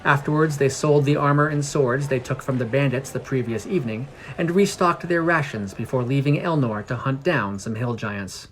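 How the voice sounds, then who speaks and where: A man's voice: mid-range voice, moderate pace, hardly any pauses, a little hiss or hum. A man reading scripted fantasy fiction as a podcast narrator.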